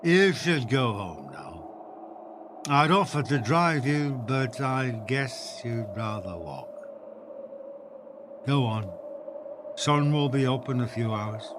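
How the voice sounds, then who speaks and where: A man's voice: mid-range voice, animated, takes it slowly, pauses often, noisy background. An actor playing a weary, wry undead man in a radio play, talking with dry amusement. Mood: wry, resigned. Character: weary, wry undead man, blood-drinker who spares his visitor.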